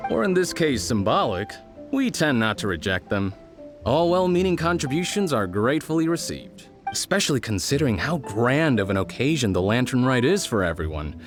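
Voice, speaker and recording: An actor playing a friendly, modest craftsman in a video game, explaining things in a measured, thoughtful way. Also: medium pitch, lightly acted. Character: friendly, modest male lantern craftsman, patient teacher. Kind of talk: video game character dialogue.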